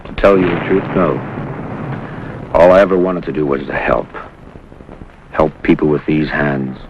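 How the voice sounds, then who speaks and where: A man's voice: a bass voice, normal speed. An actor playing an earnest, reflective American Navy corpsman in a 1950s war drama on television. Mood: pensive, earnest. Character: earnest, reflective young male Navy corpsman before battle. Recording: muffled 1950s TV soundtrack, faint noise.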